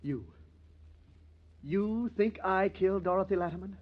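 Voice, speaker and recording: A flustered, indignant gentleman in old radio crime style. He blusters in a rather high, husky voice. The aged recording sounds dull. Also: normal speed. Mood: flustered, alarmed. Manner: blustering, stammering. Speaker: radio drama actor. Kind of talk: old-time radio crime drama acting. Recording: muffled vintage radio recording.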